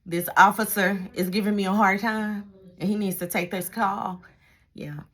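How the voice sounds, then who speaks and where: A woman's voice: medium pitch, steady tone, normal speed, a bit bright. A middle-aged American woman reminiscing casually, acting out little exchanges and repeating phrases for emphasis. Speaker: vlogger, retired police dispatcher. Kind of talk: casual reminiscing chat to camera.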